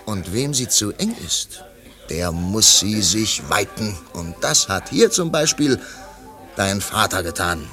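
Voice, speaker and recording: An actor in a German radio play voicing a disillusioned, wistful male artist who talks in a theatrical, halting way. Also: fairly low pitch, very animated, pushed and bright, faint music behind, exaggerated voice. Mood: resigned, ironic.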